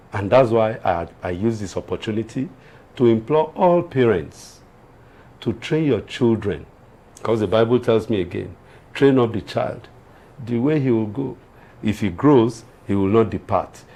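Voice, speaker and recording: A man's voice: medium pitch, a little mellow. A man with a Nigerian accent giving earnest, moralising commentary as a guest lawyer on a TV panel, repeating points for emphasis. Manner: earnest, preachy, emphatic repetition.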